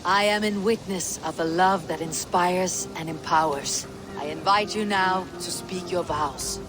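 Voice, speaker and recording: A woman playing a steady Viking leader. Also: fairly low pitch, close to an everyday voice. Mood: solemn. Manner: measured, formal, reflective. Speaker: officiant at a wedding. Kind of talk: video game voice acting. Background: music.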